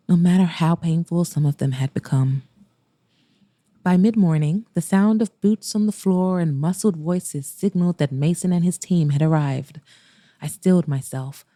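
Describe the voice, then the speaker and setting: A woman's voice: on the low side, mellow, dry recording, studio quality. A woman hosting a podcast and narrating a scripted romance audiobook in an intimate style.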